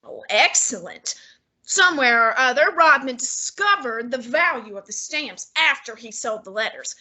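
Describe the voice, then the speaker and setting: A woman playing a pompous male genius detective, using precise and measured diction in a student radio drama. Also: fairly high pitch, animated, pushed and bright, heavily acted, poor audio. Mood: deducing. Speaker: student actor.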